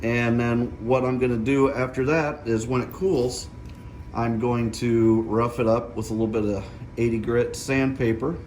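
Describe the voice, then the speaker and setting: A man's voice: medium pitch, steady tone, a little slow, darkish tone, slight background noise. A middle-aged man giving a casual hands-on tutorial, explaining things step by step and checking in as he goes. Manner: explanatory, often checking with "okay?". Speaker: DIY vlogger.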